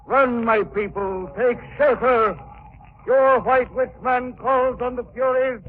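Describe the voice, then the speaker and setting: A man's voice: extremely high pitch, fairly even intonation, slow pace, husky, full character voice. An actor playing a menacing island witchman, chanting commands in broken English in 1940s radio style. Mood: incantatory fury. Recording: noisy, narrow-band 1940s radio recording.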